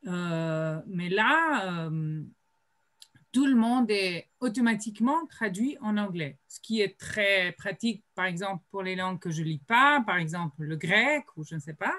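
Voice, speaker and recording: Lady on a video call, talks real slow, stops to think all the time. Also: mid-range voice, lively intonation, a bit bright. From Slovenia. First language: Slovene. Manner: hesitant, many drawn-out "euh" pauses. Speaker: writer at a colloquium panel. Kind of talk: panel discussion remarks.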